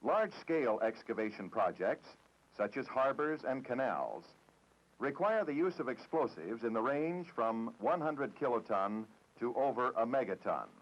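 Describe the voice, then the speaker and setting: Narrator: American man, formal matter-of-fact 1960s documentary read, strongly modulated mid-range pitch, lo-fi old film audio. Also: very animated, moderate pace. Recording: dull, dated 1960s film soundtrack.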